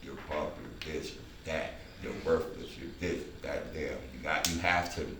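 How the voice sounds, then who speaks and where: A man talking in a casual group discussion, halting and reflective, repeating phrases for emphasis. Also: extremely low pitch, steady tone. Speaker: filmmaker leading a table read discussion. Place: indoor room at a table read. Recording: echoey room, distant mic, noisy background.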